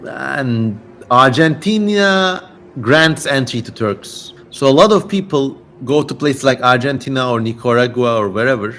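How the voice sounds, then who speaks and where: A man's voice: medium pitch, fairly bright tone. A man with a Turkish accent and a mid-range voice hosts a livestream. He talks casually, with some playful theatrical flair, as he addresses his viewers. There is noticeable noise behind him. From Turkey. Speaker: livestream host and reader.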